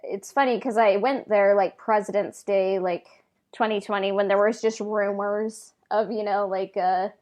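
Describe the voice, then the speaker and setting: Woman with mid-pitched voice, chatting casually. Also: fairly even intonation, normal speed, a little mellow. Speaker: podcast co-host. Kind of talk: casual chat.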